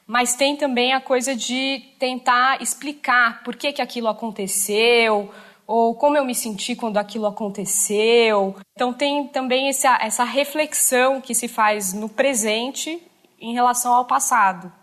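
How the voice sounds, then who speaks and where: A woman with a fairly high voice explains a topic as a teacher would. She talks in an unscripted way, tagging sentences with quick fillers. Her tone is plainly instructive. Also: fairly bright tone. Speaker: educator. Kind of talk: explanatory lesson talk.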